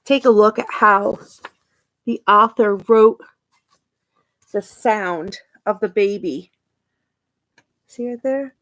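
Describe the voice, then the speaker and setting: A woman reading a children's picture book aloud expressively, pausing to ask her young listeners questions and point things out. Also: mid-range voice, a bit bright, low-quality recording. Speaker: storytime reader and teacher.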